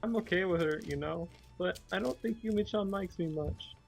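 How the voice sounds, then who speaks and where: A man's voice: sits high, moderate pace, creaky, soft background music. A man voicing a young schoolgirl, casual and a bit defensive, reading visual novel lines aloud. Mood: hesitant, casual.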